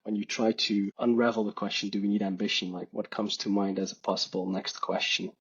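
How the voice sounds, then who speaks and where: A man's voice: on the low side, flat delivery, fairly quick, husky, muffled recording. A man from Belgium, a podcast guest and author, talking in a thoughtful, hedging way with many restarts and fillers. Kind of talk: casual reflective conversation.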